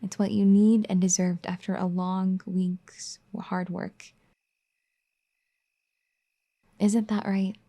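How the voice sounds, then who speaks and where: A woman voicing a caring, firm young wife in an ASMR roleplay, soft-spoken and gently scolding. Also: mid-range voice, flat delivery, unhurried. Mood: teasing tender.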